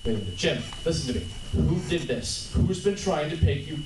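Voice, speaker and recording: A man's voice: on the high side, animated, a little fast, natural voice. Guy doing concerned serious old radio mystery man, melodramatic, echoey noisy room. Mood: concerned, urgent. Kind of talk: 1940s radio drama read at a live panel. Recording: distant echoey mic, loud noisy room.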